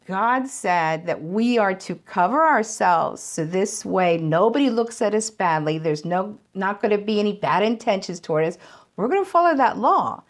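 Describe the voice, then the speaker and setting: A middle-aged American woman speaking in an earnest, plainspoken way in an interview, with light laughs. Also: medium pitch. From United States. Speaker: local businesswoman. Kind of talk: interview testimony.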